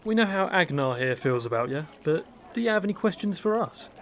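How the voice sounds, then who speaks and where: An actor playing a polite, reassuring adventurer who leads interviews in a fantasy audio drama. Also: male voice, on the high side, sing-song, a little fast, slight background noise. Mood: friendly. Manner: polite, diplomatic. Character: courteous, reassuring male adventurer leading interviews.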